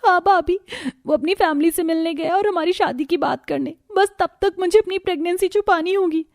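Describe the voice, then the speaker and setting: A woman's voice: extremely high pitch, a little fast, no room sound, clean studio sound, voice pushed up. A woman voicing a hot-headed, lovestruck young sister-in-law in a cartoon family drama, dropping English phrases into her talk. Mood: tearful, pleading.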